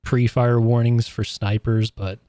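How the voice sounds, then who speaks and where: A man's voice: medium pitch, little pitch movement, a little slow, mellow, no room sound, studio quality. A man co-hosting a podcast in casual chat, opinionated and arguing his point with hesitant restarts. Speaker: podcast co-host.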